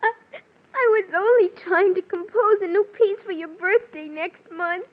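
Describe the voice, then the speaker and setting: A woman's voice: very high voice, normal speed. An actress playing a sly, tearful young schoolgirl in a 1940s radio drama, pleading and whiny. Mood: tearful, adoring. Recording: muffled 1940s radio recording.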